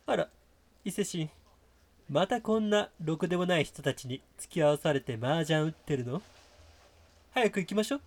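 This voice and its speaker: Man playing a higher-pitched prim, possessive girlfriend. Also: expressive, moderate pace, long pauses, no room sound. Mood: bossy. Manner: feminine, coquettish phrasing. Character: prim, possessive devout girlfriend, a young woman. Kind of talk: amateur comedy radio drama.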